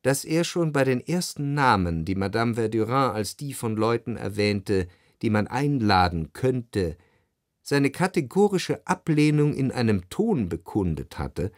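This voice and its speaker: A man narrating a literary radio play in the first person, measured and composed, in a dry studio. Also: mid-range voice, very animated, dry recording. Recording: dry close studio sound.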